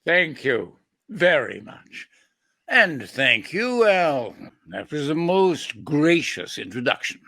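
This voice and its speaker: Grand old-time stage star: courtly, arch, very slow, creaky. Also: male voice, on the high side, very animated, sharp tone, no room sound, clean studio sound, exaggerated voice. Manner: slow, theatrical delivery. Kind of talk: 1930s radio comedy acting.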